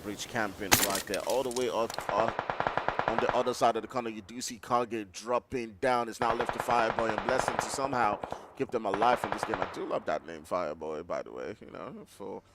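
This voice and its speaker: A man with an African English accent giving live play-by-play commentary on an esports match, with steady fillers as he calls the action. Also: lowish voice, heavy background noise. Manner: rapid excited calls with filler "you know". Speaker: esports caster. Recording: game gunfire and vehicle sounds behind.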